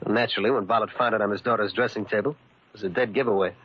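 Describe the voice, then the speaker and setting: A man's voice: on the low side, steady tone, racing, slight background noise, lightly acted. An actor playing a tough, coolly menacing gangster henchman with an American accent and blunt, slangy talk in 1940s radio drama style. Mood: smooth, threatening. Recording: muffled, worn 1940s radio recording.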